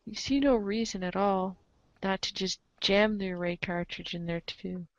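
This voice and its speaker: A woman narrates a comic read-along with theatrical playfulness. She drops into casual asides as she goes. Her mid-pitched voice is recorded dry and close, with a somewhat dull, lo-fi sound. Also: steady tone, normal speed, no room sound. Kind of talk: animated dramatic reading with casual asides.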